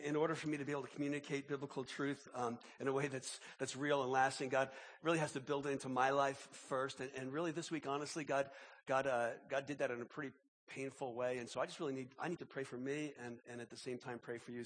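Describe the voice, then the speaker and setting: A man preaching as pastor in a church, sick, with his voice deeper than normal, repeating and restarting words as he pleads with the congregation. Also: level tone, quick pace, in a large hall. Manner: repetitive restarts, emphatic pleading. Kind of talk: sermon with opening prayer and announcements. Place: large church auditorium.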